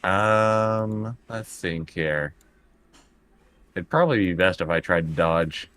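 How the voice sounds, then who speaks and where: A man's voice: deep voice, expressive, takes it slowly, fairly bright tone, smooth, no room sound. A man playing a tabletop roleplaying game, speaking casually and often in character, hesitating and repeating his words.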